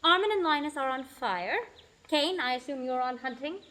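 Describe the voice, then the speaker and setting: A young woman plays a wry female party leader in an adventure radio play. The voice is high and forceful. She is practical and a little teasing. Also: moderate pace. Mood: brisk, commanding. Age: young adult. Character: wry, sensible, take-charge female travelling companion. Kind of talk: amateur audio drama acting.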